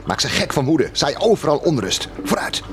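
A man's voice: fairly high pitch, big pitch swings, moderate pace, a little hiss or hum. An actor playing a bitter, commanding, sardonic man in a Dutch radio play. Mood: urgent, scheming. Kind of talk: Dutch radio play acting, live broadcast.

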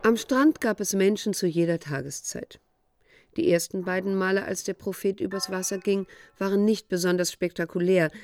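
A woman narrating a literary radio drama in a measured, sober, matter-of-fact storytelling manner. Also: on the low side, darkish tone.

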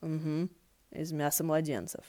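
A woman voicing a dry, secretive male earth deity in a fan-fiction audiobook, terse and deadpan. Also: deep voice, a little slow, no echo. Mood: deadpan, laconic. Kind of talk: audiobook character voice.

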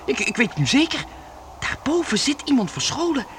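A man playing an excitable, easily startled boy adventurer in a Dutch radio play, breathless and prone to stammering. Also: very high-pitched, a little fast. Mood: frightened insistence. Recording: noisy old radio drama recording.

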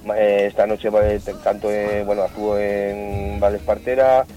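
A man's voice: on the low side, level tone, normal speed, warm tone. A man from Zaragoza, a singer guest in his forties, chatting casually and rambling in a radio interview, with a slight southern Spanish lilt. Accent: Andalusian-tinged singing drawl with Aragonese speech. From Zaragoza, Spain.

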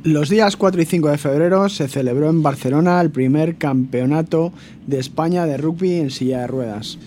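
A man's voice: on the high side, normal speed, mellow, faint music behind. A man presenting a live radio news bulletin.

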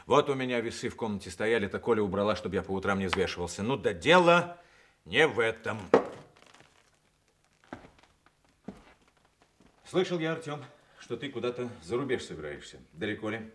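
An older army veteran with a fairly low voice, stoic despite his illness. He talks heartily and plainly, taking his time, in the style of a Soviet radio play. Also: very animated, fast, background noise. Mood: frank, resigned. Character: ailing male war veteran officer, stoic and jovial. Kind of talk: Soviet radio drama acting.

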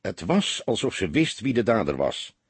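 A man voicing a seasoned, laconic police inspector who lectures his young partner calmly and a little gruffly, with a husky voice. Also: fairly low pitch, moderate pace, dry recording, exaggerated voice. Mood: reflective.

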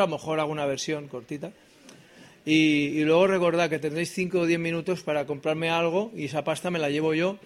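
A middle-aged man with an accent from Spain does comic stage banter between songs, rambling and self-deprecating, often checking in with his listeners. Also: a little high. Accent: Spain Spanish. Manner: rambling, self-deprecating, asks the audience tag questions. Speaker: singer-songwriter and comedian performing live. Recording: live performance with acoustic guitar. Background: acoustic guitar.

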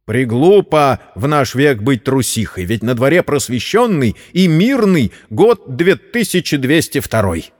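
A man's voice: mid-range voice, big pitch swings, measured pace, hardly any pauses. Timid but stubborn sister voiced by a man. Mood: self-reproachful. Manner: hushed, earnest. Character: timid, trembling spinster sister turning stubbornly defiant. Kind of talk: audiobook character acting. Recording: long reverberant hall sound.

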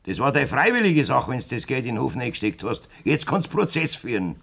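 An actor playing a blunt, scheming middle-aged farmer with a Bavarian accent in a German radio play. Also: male voice, mid-range voice, sing-song, fairly quick, creaky, a bit bright, husky, heavily acted. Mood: cold, defiant. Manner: blunt, domineering. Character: hard, scheming farmer demanding a divorce from his wife.

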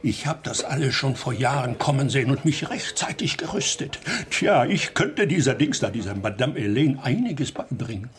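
Ein Schauspieler spielt in einem deutschen Hörspiel einen jovialen, verschmitzten Barbesitzer mittleren Alters, der umgangssprachlich poltert. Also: male voice, medium pitch, lively intonation, moderate pace, hardly any pauses, close to an everyday voice. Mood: jovial, sly. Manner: chuckling, colloquial, blustery. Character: jovial, cagey bar owner with contempt for swindlers. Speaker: restaurant owner in a radio drama. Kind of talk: German radio play acting.

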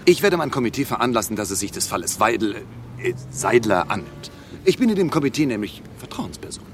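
A fussy refugee man in a radio play, full of his own importance. His pitch leaps about wildly as he halts and exclaims in agitation. A faint noise sits behind the voice. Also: big pitch swings, fairly quick, a bit bright. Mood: self-important disdain. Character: fussy, nervy refugee acquaintance, self-important committee man. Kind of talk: German radio drama dialogue.

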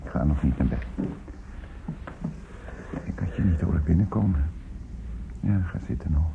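An actor playing a weary, absent-minded old father in a radio drama, murmuring and repeating himself haltingly. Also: a bass voice, lively intonation, gravelly, full character voice. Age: older. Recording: muffled, noisy old radio play recording.

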